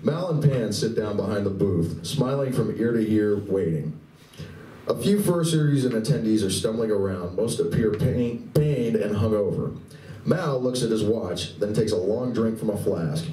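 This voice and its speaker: A man's voice: lowish voice, normal speed, dark tone. A man reading scripted narration for a live radio play. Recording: roomy, distant recording with faint noise.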